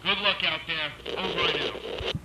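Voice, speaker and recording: A man plays a by-the-book park colleague in found-footage horror. He sounds wary and reluctant, in a slightly high voice. Heavy noise covers the recording. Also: steady tone, normal speed, close to an everyday voice. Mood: alarmed, reluctant. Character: cautious, by-the-book male park radio colleague. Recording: very noisy found-footage audio.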